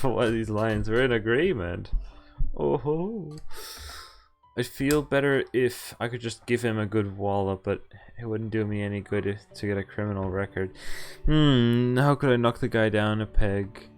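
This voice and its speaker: Animated male streamer over game music. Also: fairly low pitch, a little slow. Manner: stumbles over words while reading and corrects himself; animated, jokey reactions. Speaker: game streamer doing a visual novel playthrough. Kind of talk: reading game text aloud with casual commentary.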